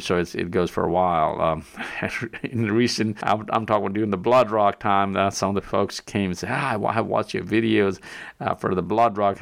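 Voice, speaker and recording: Low gravelly man with a Nepali accent. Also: no echo. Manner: rambling, frequent restarts and fillers, soft chuckles. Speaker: podcast host and marathon pacer. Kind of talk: casual solo podcast monologue.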